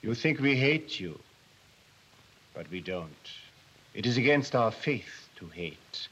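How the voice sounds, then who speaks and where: An actor playing a principled older German émigré leader, speaking with deliberate, impassioned dignity in a 1940s film drama. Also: male voice, mid-range voice, animated, fairly bright tone, slightly rough, exaggerated voice. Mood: quiet, resolute. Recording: dull 1940s film soundtrack.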